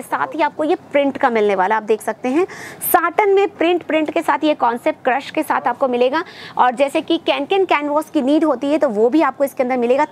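A young woman presenting clothing in a textile shop, talking to her viewers in a brisk, upbeat sales-pitch manner. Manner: upbeat sales patter, addresses viewers as friends. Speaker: shopping vlogger presenting wholesale lehengas. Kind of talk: product showcase vlog. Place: textile shop full of stacked fabric, indoors.

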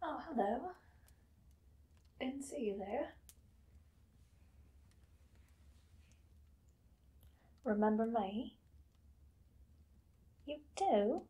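A possessive vampire mistress, played by a young woman in an ASMR roleplay. She speaks very slowly and breathily, often dropping into a whisper. Her theatrical delivery is doting and coaxing, with menace in it. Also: fairly high pitch, animated, muffled recording. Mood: sly, delighted. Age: young adult. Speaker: ASMR performer. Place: small dim indoor room.

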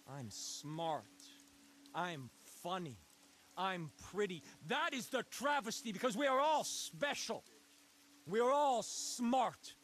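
Messianic cult preacher for a game. Intense, deliberate, repetitive sermon delivery, faint rainy noise underneath. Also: male voice, high-pitched, a bit bright, heavily acted. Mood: impassioned, scornful. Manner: deliberate, repetitive, rhetorical build-ups. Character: intense messianic male cult leader preaching to his flock. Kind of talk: dramatic sermon.

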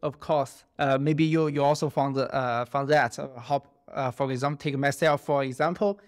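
Man, Chinese native speaker, thirties, halting speech full of fillers, video call. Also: mid-range voice, steady tone. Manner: halting, many fillers and restarts. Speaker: guest researcher. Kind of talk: interview answers. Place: home office.

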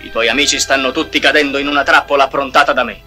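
An actor playing an evil old emperor in an audio storybook, gloating and imperious. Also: male voice, mid-range voice, fairly even intonation, very fast, bright, forceful tone, faint music behind. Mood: smug menace.

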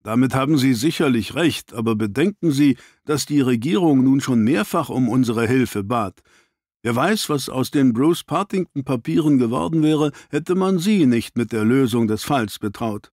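A man voicing an earnest, well-informed gentleman doctor in an audiobook, formal and explanatory. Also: medium pitch, expressive, moderate pace, no echo, studio quality, close to an everyday voice.

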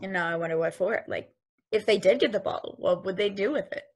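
A woman's voice: medium pitch, a little fast. A young woman on a video call reading a stage play aloud, asking puzzled questions. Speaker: table read actor.

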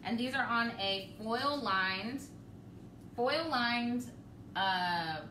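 A woman in her thirties hosting a live home cooking demo, chatty and relaxed, laughing often. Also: fairly high pitch, drawn-out words. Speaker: cooking show host. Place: home kitchen, indoors. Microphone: camera across the kitchen. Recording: roomy, distant, noisy home kitchen.

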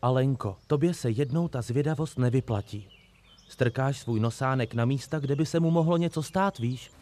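A man's voice: mid-range voice, warm tone, natural voice. A man playing a worried, faithful dog who cautions a little girl. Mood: scolding gently. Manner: cautioning, fretful.

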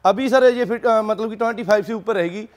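A man with a notably high voice works as a cattle seller, presenting his stock in an informal sales pitch. He keeps addressing the listener as sir as he talks. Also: fast talker. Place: dairy farm.

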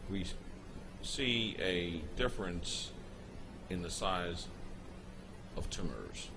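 A man giving an unscripted voice-over, explaining footage in a halting way with pauses and restarts. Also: low voice, noisy background. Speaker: narrator explaining a product video.

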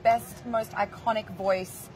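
A woman's voice: mid-range voice, steady tone, takes it slowly, darkish tone, rough recording. Young woman tv host, bubbly and laughing a lot, outdoor plaza, faint background noise. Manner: gushing, enthusiastic, laughs often. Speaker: entertainment show host. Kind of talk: upbeat celebrity interview. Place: outdoor plaza set with passersby.

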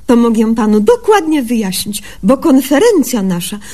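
A woman's voice: fairly high pitch, big pitch swings, moderate pace, dark tone. A woman playing a naive, self-assured young country doctor in a comic radio sketch, theatrical and mock-learned, with folksy slips. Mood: confidently explaining.

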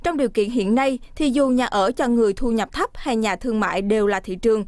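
A woman reads news voice-over in a fairly high voice. Her reading is clear and steady, in a formal newsreader manner. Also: a little fast, no room sound. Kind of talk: scripted news narration.

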